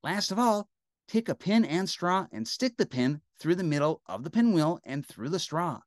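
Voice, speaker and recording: A cheerful, friendly little storybook girl, acted out by a reader. The voice is pitched high and lilts as it gives step-by-step instructions, with a dry, close sound. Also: lively intonation, moderate pace, dry recording, natural voice. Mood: cheerful, helpful. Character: cheerful young girl who loves making crafts.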